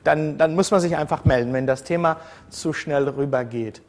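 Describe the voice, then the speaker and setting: A man from the former Soviet Union gives a relaxed university lecture in a slightly raised voice. He talks to his students in a conversational way, drifting between points with frequent fillers and self-corrections. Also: a little high, moderate pace.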